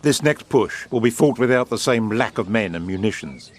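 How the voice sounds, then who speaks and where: Man playing a low-voiced, suave British wartime official. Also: lively intonation. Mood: brisk, confident. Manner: urbane, eloquent, measured, grandiloquent. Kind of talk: period audio drama acting.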